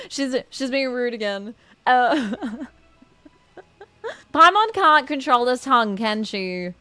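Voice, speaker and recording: A woman game streamer with a bright, forward voice recorded very dry and close. She chats casually over the game and addresses viewers with affectionate endearments, pitched slightly high. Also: sing-song, takes it slowly, pauses often, dry recording. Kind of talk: casual chatty live game commentary.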